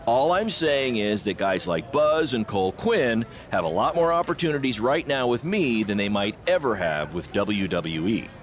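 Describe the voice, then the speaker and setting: An actor playing a confident, persuasive small-time wrestling promoter in scripted game dialogue. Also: male voice, fairly low pitch, lively intonation, normal speed, hardly any pauses, natural voice.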